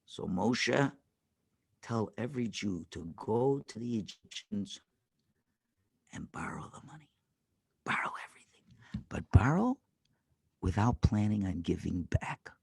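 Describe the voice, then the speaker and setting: Male storyteller as the Almighty, deliberate and confiding, gravelly edge, storybook style. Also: on the low side, sing-song, darkish tone, voice pushed down, heavily acted. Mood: hushed, secretive. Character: God confiding, deliberate, concerned for promise.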